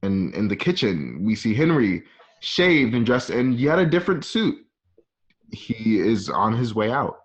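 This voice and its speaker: Male narrator for a script table read: fairly low voice, reading scene directions, slightly dull lo-fi home recording. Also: big pitch swings, measured pace.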